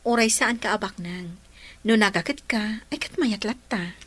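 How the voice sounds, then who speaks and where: A woman playing a devoted, patient young girlfriend in a radio drama, speaking with gentle persuasion. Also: medium pitch, moderate pace, slightly rough. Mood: supportive, steadfast.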